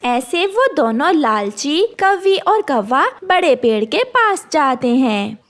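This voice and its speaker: High-pitched, lively woman storyteller. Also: takes it slowly. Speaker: narrator. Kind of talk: scripted children's story narration.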